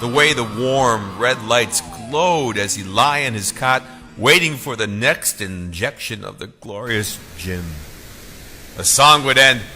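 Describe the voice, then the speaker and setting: A man reading his own novel as a theatrical, emphatic audiobook narrator, with dramatic pauses. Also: mid-range voice, pushed and bright. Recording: long reverb, faint music behind.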